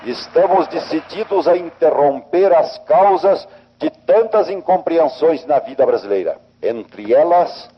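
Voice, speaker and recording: A man's voice: fairly high pitch, expressive, a little slow, slightly rough. A man with a Brazilian accent making a solemn, declamatory formal political address. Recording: dull, faintly noisy archive recording.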